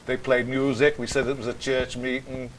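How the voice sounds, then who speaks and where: A man's voice: medium pitch, little pitch movement, moderate pace, slight background noise, poor audio. A man hosting a casual chat, jovial and rambling, laughing easily with his guests. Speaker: host.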